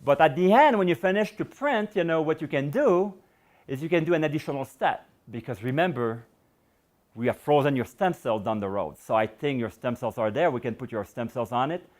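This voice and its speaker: A middle-aged man giving a public science lecture and taking questions, talking in a loose, rambling way with many fillers, his voice going hoarse. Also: mid-range voice, lively intonation, brisk, a little mellow. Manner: rambling, many "you know" fillers and restarts.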